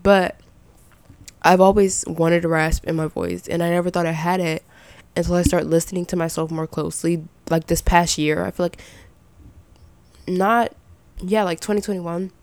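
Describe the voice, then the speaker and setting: A young woman with a slightly raspy voice and some vocal fry at sentence ends, chatting casually and giving her opinions as a podcast host. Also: on the low side, steady tone, normal speed, long pauses, a little mellow, no echo. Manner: informal, rambling, opinionated. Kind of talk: casual chatty solo commentary.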